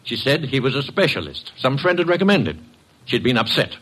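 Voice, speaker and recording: An actor playing a distraught, suspicious widowed husband in a classic radio drama, insistent and agitated. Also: male voice, medium pitch, big pitch swings, brisk, fairly bright tone, husky. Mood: troubled.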